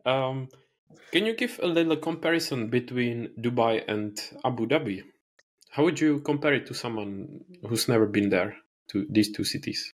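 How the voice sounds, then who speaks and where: A man's voice: medium pitch, lively intonation, moderate pace. A man hosting an interview, curious and easygoing, asking follow-up questions. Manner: curious, asks follow-up questions, laughs easily. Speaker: interviewer, host. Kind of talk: casual interview.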